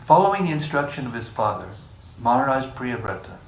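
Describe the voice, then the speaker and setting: A man leading a scripture class, reading passages in a measured way and joking with the group between them. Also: medium pitch, sing-song. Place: room with a live group. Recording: echoey room, distant mic, faint hiss.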